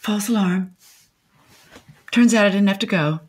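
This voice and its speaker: A woman's voice: medium pitch, unhurried, a little mellow, breathy edge. An older woman playing a brazen, cranky widowed grandmother in a comic radio play, acerbic, theatrical and contrary. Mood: acerbic, dry. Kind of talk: comic audio drama acting.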